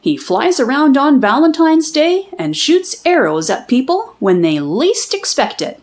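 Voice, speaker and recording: A woman's voice: high voice, sing-song, unhurried, a little mellow, pitched above the performer's own voice. A woman voicing an affectionate, teasing father in a children's storybook, explaining things playfully.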